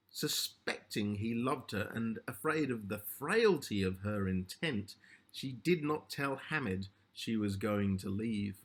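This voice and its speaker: A man narrating a literary short story as its author, in measured, deliberate phrases with a slightly husky voice. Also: medium pitch, fairly bright tone.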